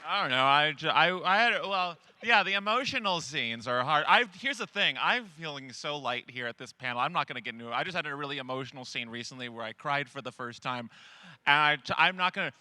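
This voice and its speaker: A man's voice: on the high side, lively intonation, brisk, sharp tone, no echo. A man who is a voice actor, chatting in a jokey, rambling, profane way on a live convention panel. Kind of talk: joking panel chat.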